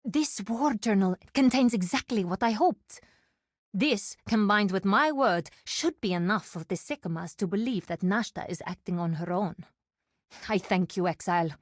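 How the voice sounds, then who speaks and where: An actress voicing a proud, dutiful desert warrior woman in a video game, speaking in a formal, measured, solemn way. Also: medium pitch, dry recording, clean studio sound, close to an everyday voice. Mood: resolute. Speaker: game character.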